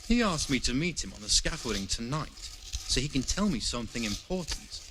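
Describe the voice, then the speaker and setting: A man's voice: mid-range voice, very animated, a little fast, noisy background, natural voice. An actor playing a curious, shrewd medieval man in a video game, questioning and matter-of-fact. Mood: curious, decisive. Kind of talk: video game dialogue acting.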